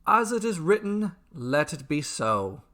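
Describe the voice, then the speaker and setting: A man's voice: on the high side, sing-song, measured pace, pushed and bright, voice pushed up, heavily acted. A man voicing a kindly, apologetic village priest in a fantasy story, with a polite, formal manner. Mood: solemn.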